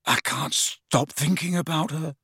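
A man voicing a lovesick teenage boy in an audiobook, breathy and strangled, moony and overwrought. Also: a little high, measured pace, pushed and bright, dry recording, studio quality, exaggerated voice. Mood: anguished. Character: lovesick teenage boy under a love potion, dreamy then furious. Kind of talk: audiobook character voice.

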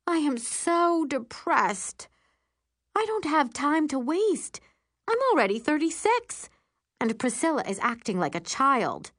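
A woman narrator plays a self-absorbed stepmother in her thirties from an audiobook. The voice rides high and swoops dramatically, drawing out words as she complains and overshares. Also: sing-song, slow, a bit bright, dry recording, studio quality, heavily acted. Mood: exasperated, complaining.

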